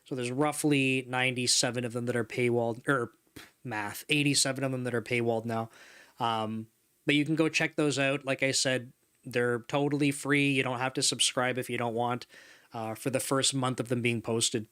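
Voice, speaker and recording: A man in his mid thirties talks casually to his livestream chat into a desk microphone in a home room. His voice is smooth and clean, sitting at a middle pitch. He rambles easily and laughs often. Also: steady tone, moderate pace, a bit bright. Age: 30s. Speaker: livestream host. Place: home studio room with couch and neon lights. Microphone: desk microphone in front.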